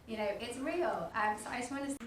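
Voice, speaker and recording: A woman's voice: on the high side, fast, very noisy. A woman giving a candid, conversational speech at an event. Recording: echoey hall from far off.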